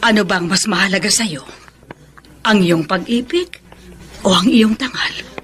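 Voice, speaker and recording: An actress playing a grave, counselling middle-aged Filipino mother, measured and admonishing, in a radio drama. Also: mid-range voice, a bit bright, slight background noise, close to an everyday voice. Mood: stern concern.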